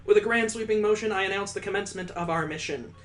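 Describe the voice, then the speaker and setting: Guy with a highish voice reading a visual novel out loud all dramatic, music underneath. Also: fast talker. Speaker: gameplay host reading a visual novel aloud. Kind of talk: dramatic storybook-like narration of game text. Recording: music behind the voice.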